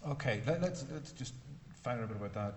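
A man's voice: mid-range voice, expressive, fast, a crowd in the background. A man hosting a comedy interview on stage, teasing his guest and playing dumb. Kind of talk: joking onstage banter. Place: hall with live audience. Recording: echoey hall with noise.

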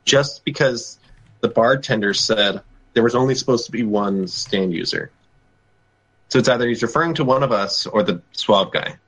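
A man on a voice call plays a tabletop game. His voice is mid-pitched, and he talks through his theories and asks questions as he goes. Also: moderate pace, a bit bright, low-quality recording. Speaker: player. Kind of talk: casual tabletop play.

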